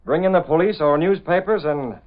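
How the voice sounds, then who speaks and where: A man plays a masked radio-serial vigilante, forceful and curt, issuing demands like a racketeer. He speaks in classic 1930s radio acting style at a middling pitch. The sound is thin and boxy, like an old broadcast. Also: brisk, natural voice. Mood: threatening. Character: commanding masked vigilante man posing as extortionist. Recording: muffled, tinny old radio recording.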